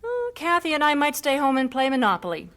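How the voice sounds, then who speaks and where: A woman's voice: high voice, huge pitch swings, a little fast, a bit bright, exaggerated voice. A woman playing a good-humoured missionary wife and mother in an old-fashioned radio drama, speaking in a playful, wry way. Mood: playfully wry.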